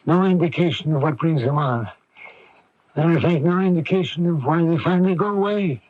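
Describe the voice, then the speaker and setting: An actor playing a weary, kindly older family doctor who grumbles in an avuncular way, in a radio drama. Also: male voice, a little high, brisk, dry recording, close to an everyday voice. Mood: grave. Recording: dull lo-fi vintage radio broadcast.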